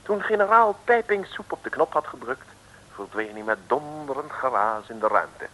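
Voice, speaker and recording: A man's voice: mid-range voice, animated, moderate pace, pushed and bright, slight background noise, rough recording. A man narrating a 1950s radio comedy serial in a wry storytelling tone, talking to the listeners. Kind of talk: scripted radio play narration. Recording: old radio broadcast over music.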